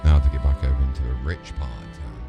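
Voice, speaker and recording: A man's voice: rumbling low, steady tone, quick pace, gravelly, lo-fi sound, over loud music. A man giving casual commentary as he streams a video game.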